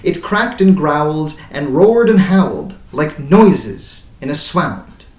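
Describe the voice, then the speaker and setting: A man's voice: on the high side, sing-song, slow, darkish tone. A man voicing a haunted, grey-bearded old sailor who recounts his voyage in dramatic, incantatory verse that swells and falls away. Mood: grave, intense. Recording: roomy echo, distant mic.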